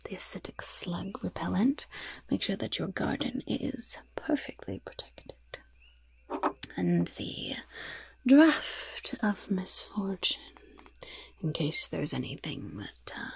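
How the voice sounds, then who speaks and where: Woman as a whispering, creaky goblin poison seller. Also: fairly low pitch, expressive, full character voice. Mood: delighted, obliging. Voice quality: breathy, raspy, creaky whisper. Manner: slow, savoring, slyly polite. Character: gleeful mischievous goblin shopkeeper selling poisons and curses. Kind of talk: whispered ASMR roleplay.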